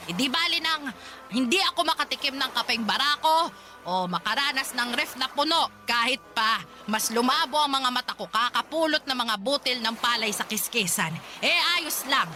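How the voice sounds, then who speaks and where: A woman playing a poor, protective old grandmother in a Tagalog radio drama, halting and scolding as she talks. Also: high-pitched, animated, pushed and bright, soft background music. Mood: indignant pleading. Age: older.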